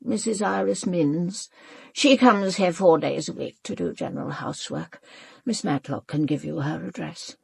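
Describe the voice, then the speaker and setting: A woman's voice: fairly low pitch, moderate pace, vocal fry, darkish tone, husky, no echo, exaggerated voice. An actress playing a proud, elderly upper-class British mother in a radio drama, speaking formally and precisely with controlled, cool dismissiveness. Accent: upper-class British English. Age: older. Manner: formal, clipped, haughty, precise.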